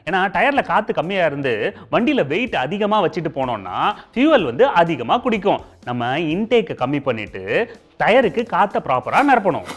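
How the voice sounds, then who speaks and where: A male TV host with a Tamil Nadu accent and a fairly high voice. His pitch moves around in a very lively way as he explains facts in a conversational style, talking straight to the viewer. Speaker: TV show host. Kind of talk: informational presenting in colloquial Tamil with comic asides.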